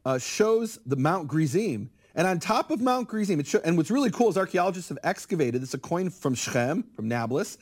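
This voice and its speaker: Middle-aged male guest scholar, slightly high voice, animated and lecturing. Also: brisk, few pauses. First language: English. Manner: animated, acts out quoted speakers. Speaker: guest scholar of biblical Hebrew. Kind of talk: conversational interview, lecturing.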